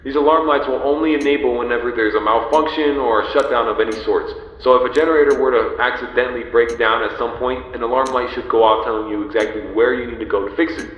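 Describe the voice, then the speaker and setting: A man's voice: mid-range voice, steady tone, quick pace, hardly any pauses, dull audio, natural voice. A man playing a pizzeria manager who briefs a new night guard in a polite, explanatory, scripted game phone message. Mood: instructive. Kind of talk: scripted instructional phone-call monologue. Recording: echoey with long reverb.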